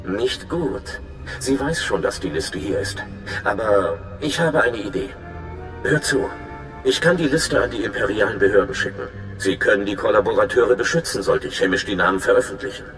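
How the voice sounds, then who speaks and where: A man's voice: fairly low pitch, expressive, moderate pace, a bit bright, roomy sound, soft background music. An actor playing an earnest, conscientious male undercover agent in a video game, speaking in a confiding way. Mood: worried, urgent. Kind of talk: dramatic video game acting.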